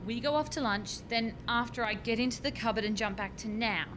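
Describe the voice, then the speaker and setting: An actress playing an earnest, bossy, harried young scientist in a radio farce, explaining things insistently. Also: female voice, a little high, fairly quick, background noise, lightly acted. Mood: anxious, careful. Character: earnest, bossy, harried female doctoral time-travel researcher.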